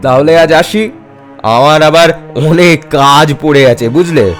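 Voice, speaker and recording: A man acts out a crafty cartoon villager who feigns friendship. His slightly high voice takes its time, oily and coaxing, over faint music. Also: measured pace, close to an everyday voice. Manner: oily, wheedling, falsely sympathetic. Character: sly, scheming male cart puller feigning friendly sympathy. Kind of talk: animated moral story acting.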